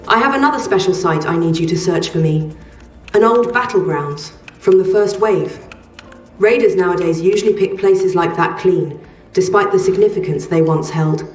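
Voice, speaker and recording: An actress playing a guarded, exacting trader in a post-apocalyptic video game, speaking in a measured, terse, matter-of-fact way. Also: female voice, lowish voice, close to an everyday voice. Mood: grave, exacting. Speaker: quest-giving trader. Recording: roomy, slightly distant, music behind.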